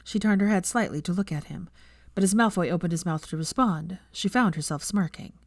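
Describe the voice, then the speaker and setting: A woman reading fan fiction aloud as narrator, in expressive scripted storytelling. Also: on the low side, brisk, no room sound, studio quality.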